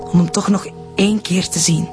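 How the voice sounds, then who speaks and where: An actress with a Flemish accent playing an earnest, frightened daughter explaining herself in a radio drama. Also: female voice, fairly low pitch, fairly even intonation, fairly quick, music in the background, close to an everyday voice. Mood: earnest, sorrowful. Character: frightened, earnest secret daughter confessing family history.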